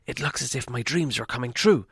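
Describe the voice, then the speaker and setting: A man's voice: medium pitch, a little fast, vocal fry, slightly rough, dry recording, clean studio sound. Man acting a plaintive, puffing, hungry fat dwarf. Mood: eager, plaintive. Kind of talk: storybook character voice.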